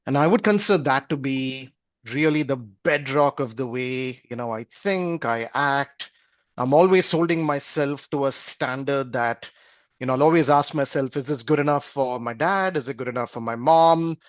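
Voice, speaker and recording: A man's voice: medium pitch, normal speed, dry recording, lo-fi sound. A man in his forties from Malaysia, a podcast guest talking reflectively with many fillers. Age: 40s. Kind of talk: casual interview talk.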